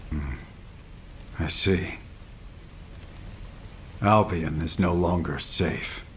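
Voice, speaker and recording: An actor playing a grave, terse executive officer in a military video game. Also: male voice, low-pitched, drawn-out words, slight background noise. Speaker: military commander.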